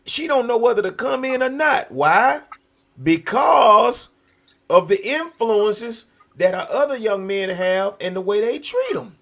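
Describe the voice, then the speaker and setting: An American man hosting a talk show, speaking in an animated, preacher-like conversational way, emphatic and often repeating phrases for effect. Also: high-pitched, a little slow. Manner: emphatic, repetitive. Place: virtual video show.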